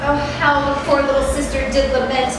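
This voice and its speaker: A high-voiced woman reads a fairy tale aloud in an expressive storyteller's style. She sounds far from the microphone in a very reverberant space, with event noise behind her. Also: fairly quick. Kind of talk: scripted fairy-tale narration, read aloud live. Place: reverberant venue at a live event. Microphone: distant microphone. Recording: echoey, noisy room from far off.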